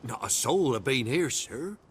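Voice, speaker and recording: Poor humble peasant in a game, husky, swingy pitch, rustic talk, scared n earnest. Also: male voice, very animated, unhurried, full character voice. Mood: wary, deferential. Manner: rustic dialect grammar, deferential, dramatic storytelling. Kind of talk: video game voice acting.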